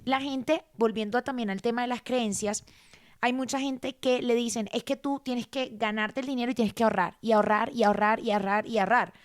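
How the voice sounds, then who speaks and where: A woman, explaining personal finance in a casual, animated conversation and repeating words for emphasis. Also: a little high, fairly quick. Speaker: guest.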